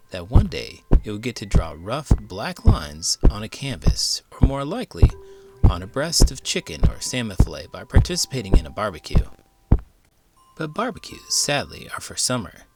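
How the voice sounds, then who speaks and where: Male children's storyteller, lowish voice, very expressive read-aloud delivery, light music underneath. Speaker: children's book reader, elementary school teacher.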